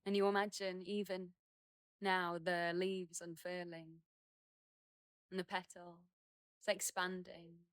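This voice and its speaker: A woman leading a guided meditation outdoors, speaking in gentle, unhurried phrases with many pauses and soothing instruction. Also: lowish voice, little pitch movement, no room sound, clean studio sound. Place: outdoors in a garden.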